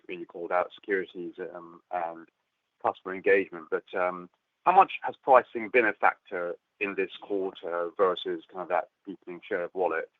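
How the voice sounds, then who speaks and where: A man with a very low voice asks his question quickly, filling pauses with "um". He is an analyst calling into an earnings call. The sound is dry and tinny, as on a phone. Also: dry recording. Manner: hesitant, many "um"s. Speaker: analyst caller.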